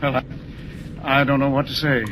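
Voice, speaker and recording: A man's voice: mid-range voice, little pitch movement, moderate pace, lightly acted. A man playing a kindly bewildered professor. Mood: awed. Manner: wondering, hesitant. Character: kindly bewildered older male professor, a father. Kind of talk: 1940s radio acting. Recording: noisy old radio broadcast.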